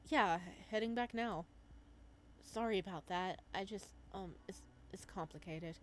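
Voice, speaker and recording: An awkward young visual novel hero, read by a woman, reluctant and casual. He talks hesitantly, trailing into quieter asides, over faint background noise. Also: medium pitch, a little slow, lightly acted.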